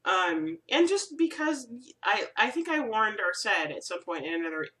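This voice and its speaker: A woman hosts a relaxed crafting vlog from home, her nose a bit stuffy from a cold. She sounds bright and chatty, rambling with ums and asides. The mic sits at a slight distance from her. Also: medium pitch, moderate pace. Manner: rambling, friendly, lots of ums. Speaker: crafting video host. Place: room at home.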